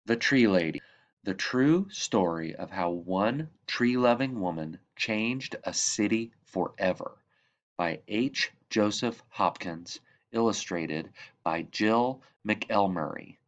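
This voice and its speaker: Low-voiced man reading a storybook aloud, muffled sound. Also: expressive, takes it slowly. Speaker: reader of a children's picture book. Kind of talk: read-aloud storybook narration.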